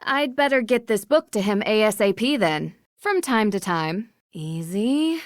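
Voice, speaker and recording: A woman's voice: mid-range voice, expressive, a little slow, dry recording, studio quality, close to an everyday voice. An actress playing a curious, polite and plucky young woman detective in an adventure game. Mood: friendly, curious. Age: young adult. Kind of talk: scripted adventure game dialogue.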